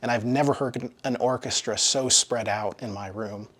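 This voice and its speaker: Guy reading out a scripted review, medium-pitched voice. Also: steady tone, normal speed, a bit bright. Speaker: audio equipment reviewer.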